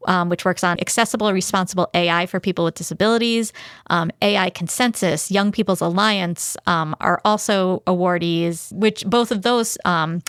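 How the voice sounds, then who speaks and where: A woman's voice: fairly low pitch, fairly bright tone, clean tone, no echo, studio quality. A woman co-hosting a podcast in a conversational discussion style, partly reading from notes. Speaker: podcast co-host. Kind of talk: conversational podcast discussion with some read copy.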